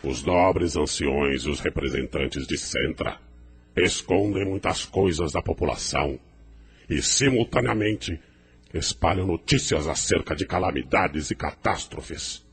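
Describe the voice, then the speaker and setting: A man plays a menacing rebel demagogue for an audio drama. His voice is very low, and he declaims with grand, defiant pomp, as if rallying followers to a new order. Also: unhurried. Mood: commanding. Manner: pompous, declamatory.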